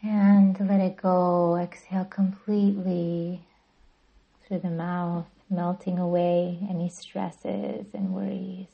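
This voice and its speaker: A woman guiding a meditation as a podcast host, speaking gently and deliberately in a soothing, breathy voice. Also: on the low side, level tone, lo-fi sound. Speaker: yoga nidra guide and podcast host. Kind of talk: guided meditation narration.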